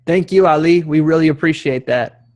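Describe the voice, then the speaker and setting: A man's voice: fairly high pitch, moderate pace, a little mellow. A man hosting a discussion, informally and politely moderating and thanking participants. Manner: polite, appreciative. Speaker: host, moderator.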